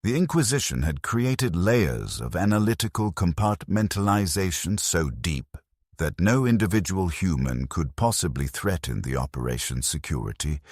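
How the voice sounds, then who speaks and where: A man narrating a first-person story in a measured, grave and detached way. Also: sits low, darkish tone, husky, no room sound, studio quality.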